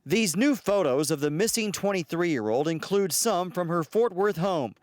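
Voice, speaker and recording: A man's voice: high-pitched, animated, moderate pace, darkish tone, no room sound, studio quality. An American man giving a live television news report as a field reporter, outdoors.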